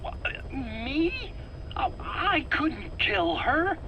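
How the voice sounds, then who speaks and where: A man's voice: extremely high pitch, sing-song, extremely slow, heavily acted. An actor playing a nervous, defensive male scientist under interrogation in a game cutscene, stammering and pleading in a whiny manner. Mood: frightened, protesting. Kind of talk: video game cutscene acting. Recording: faint background noise.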